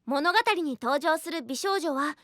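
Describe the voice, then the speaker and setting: Very high-voiced, courtly anime princess. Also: female voice, pushed and bright, no echo, clean studio sound. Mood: breezy, unapologetic. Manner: very formal, courtly, playfully brisk. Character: polite, scheming young princess writing a play. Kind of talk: Japanese anime audio drama acting. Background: faint background music.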